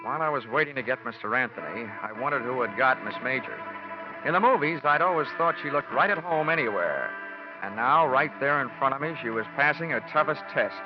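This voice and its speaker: An actor playing a wisecracking, cynical insurance investigator in a mid-century American radio detective drama. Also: male voice, medium pitch, expressive, brisk, lightly acted. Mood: wry, hardboiled. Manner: wry, cynical, slangy. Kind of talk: 1940s-50s radio detective acting. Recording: dull vintage radio recording, music behind.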